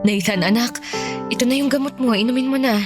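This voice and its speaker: A woman playing a caring, expressive mother in a Filipino radio drama. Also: fairly high pitch, fast talker, music in the background, natural voice. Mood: tender. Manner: tender, expressive, motherly scolding. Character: caring, teasing, worried Filipino mother of a sick son.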